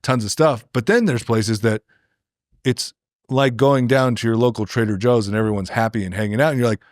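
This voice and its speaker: A man's voice: medium pitch, brisk, no echo, studio quality. An American man chatting casually as a podcast co-host, rambling through opinions and anecdotes and acting out imagined remarks. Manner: rambling, filler-heavy, acts out imagined remarks.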